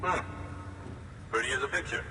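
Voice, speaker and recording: A man's voice: medium pitch, moderate pace, pushed and bright. Heard from a distance in an echoey, noisy hall, a matter-of-fact male technician in a game cutscene. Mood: satisfied, brisk.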